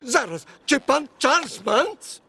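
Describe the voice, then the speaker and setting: A woman voicing an excitable, starstruck child fan in an animated film dub. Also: high voice, slow pace, gravelly, exaggerated voice. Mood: awestruck.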